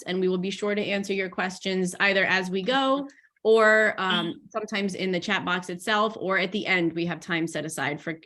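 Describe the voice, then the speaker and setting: A woman's voice: mid-range voice, fairly bright tone. A woman hosting a video-call briefing as program director, a little stuffy from a cold, upbeat with small restarts.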